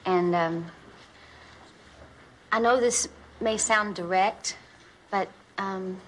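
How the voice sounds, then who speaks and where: A woman's voice: medium pitch, drawn-out words, a little hiss or hum. An actress playing a proud, sardonic sitcom woman with a Southern US drawl and folksy sarcasm. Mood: hesitant. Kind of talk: multi-camera sitcom acting.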